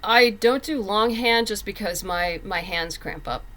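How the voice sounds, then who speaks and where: A woman's voice: medium pitch, a little slow, bright, forceful tone, a little hiss or hum. A woman speaking as a writer guest in a casual interview, candid, emphatic and plain-spoken.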